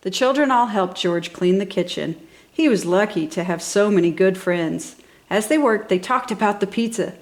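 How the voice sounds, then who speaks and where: A woman's voice: medium pitch, normal speed. A woman reading a children's storybook with enthusiastic, exaggerated emphasis for young listeners. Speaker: storybook reader for a toddler channel. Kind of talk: expressive scripted children's story narration.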